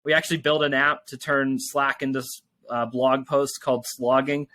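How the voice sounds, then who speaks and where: A man's voice: mid-range voice, level tone, moderate pace, pushed and bright, dry recording. A man speaking as an interview guest in a casual, rambling, informal way. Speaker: tech company founder and interview guest.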